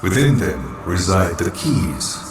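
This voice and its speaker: Alaric, an ancient solemn temple guardian. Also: male voice, low voice, sing-song, full character voice. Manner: grave, measured, commanding. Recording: echoey, distant, music behind. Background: game music.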